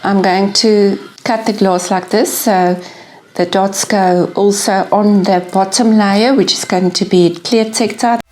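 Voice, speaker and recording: A middle-aged woman from South Africa, whose first language is Afrikaans, speaking with a South African accent as she calmly explains each step of a fused glass project. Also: mid-range voice, measured pace. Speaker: glass artist demonstrating a craft. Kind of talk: crafting tutorial voice-over and to-camera talk. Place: home room with a window. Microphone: lapel mic.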